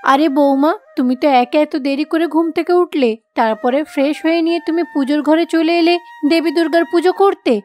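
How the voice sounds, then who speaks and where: A woman's voice: sits high, fairly even intonation, a little fast, a little mellow, lightly acted. A woman voicing a harsh, bossy older mother-in-law in a cartoon fairy tale, scolding and giving orders. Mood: scornful, bossy. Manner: scolding, commanding. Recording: background music under the voice.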